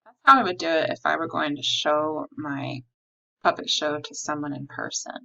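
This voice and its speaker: A woman with a low voice and plenty of vocal fry teaches a craft step by step. Her phrasing is simple and instructional, and the recording is a little dull. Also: moderate pace, bright, forceful tone. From United States. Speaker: art teacher. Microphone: recording a tabletop demonstration under an overhead camera. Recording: slightly dull, lo-fi audio.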